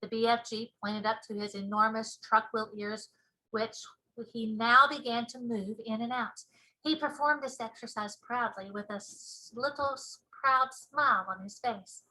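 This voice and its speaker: Casting: female storybook narrator, teacherly and expressive, high-pitched with a bright tone. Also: unhurried, poor audio. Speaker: teacher reading aloud.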